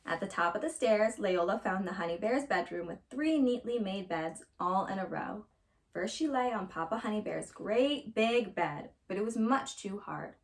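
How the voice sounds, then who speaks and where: A woman storyteller reading a children's fairytale aloud with expressive narration. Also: mid-range voice, moderate pace, low-quality recording.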